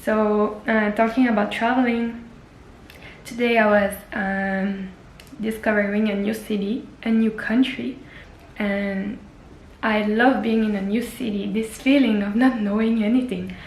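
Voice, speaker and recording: A woman's voice: medium pitch, steady tone, measured pace, reverberant, slight background noise, low-quality recording. A young woman whose first language is French, chatting earnestly in a personal travel vlog, often restarting her sentences. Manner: earnest, many restarts and fillers. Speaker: travel vlogger. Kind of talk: casual personal vlog talk.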